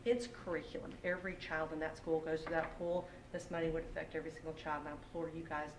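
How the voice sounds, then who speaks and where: Low-voiced Texan woman in an echoey room. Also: level tone, quick pace. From Texas, United States. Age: middle-aged. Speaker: parent speaking at public comment. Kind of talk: earnest public comment at a school board meeting. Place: indoor public meeting room. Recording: echoey, noisy meeting room, distant microphone.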